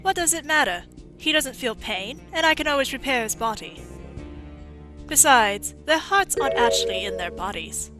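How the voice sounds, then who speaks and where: A woman playing a bitter, sharp-tongued robot engineer in a video game fan dub, brusque and mocking. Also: high-pitched, expressive, moderate pace, music in the background. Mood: scornful, irritated.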